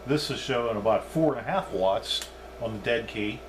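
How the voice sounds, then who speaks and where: A man's voice: mid-range voice, moderate pace, noisy background. A man giving a casual, unscripted hands-on demonstration as a hobbyist reviewer, thinking aloud as he goes.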